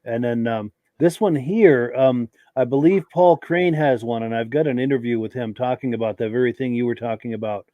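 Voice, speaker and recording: A man hosting a live podcast who has a cold and sounds hoarse, reading out viewer comments with short, easygoing reactions. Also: medium pitch, fairly quick, hardly any pauses, no room sound, dull audio. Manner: relaxed, reads comments aloud with brief reactions. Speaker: podcast host.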